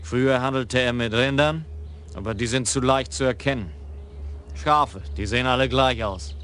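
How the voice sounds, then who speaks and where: A man playing a calm, terse Frisian lieutenant. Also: mid-range voice, a little hiss or hum, close to an everyday voice. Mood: stubborn. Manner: slow, terse and plainspoken. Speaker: Frisian police lieutenant Sudema. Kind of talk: radio drama acting.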